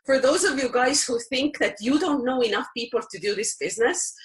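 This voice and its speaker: A woman's voice: high-pitched, brisk. A woman from Estonia with an Estonian accent, giving a heartfelt, emotional testimonial. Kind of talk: personal testimonial on a call.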